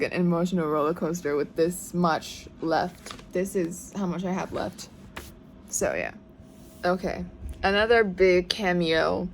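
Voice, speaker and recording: Excitable woman with a light Thai accent. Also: medium pitch, slight background noise. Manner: excitable, dramatic, rambling with restarts. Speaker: book vlogger. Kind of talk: casual reading reaction vlog. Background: faint background music.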